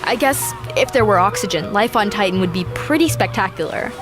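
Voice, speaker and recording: A woman's voice: medium pitch, expressive, fairly quick, music in the background, lightly acted. A woman playing a chatty, curious space traveler in a sci-fi comedy audio drama, hesitant and given to theatrical exclamations. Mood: dreamy, matter-of-fact.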